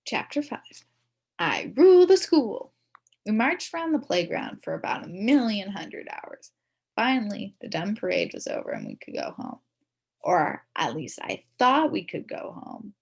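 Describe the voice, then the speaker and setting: A woman reading a children's story aloud in the first person as a kid, expressively, with dramatic emphasis and drawn-out words. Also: medium pitch, huge pitch swings, gravelly, slightly rough. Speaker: storybook reader.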